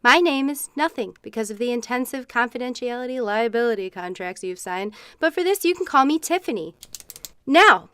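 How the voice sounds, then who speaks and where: A woman's voice: a little high, animated, normal speed, a bit bright, clear, resonant tone, no echo. A woman playing a darkly cheerful corporate guide at a test facility in a comic radio play, politely delivering grim news. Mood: mock cheerful. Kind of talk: comic radio theatre monologue.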